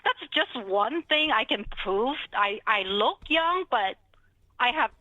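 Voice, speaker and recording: Middle-aged female financial advisor, Chinese accent, bright forceful voice, conversational interview delivery on slightly lo-fi, dry audio. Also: fairly high pitch, expressive, slow, no room sound. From China. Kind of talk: conversational interview, telling her career story.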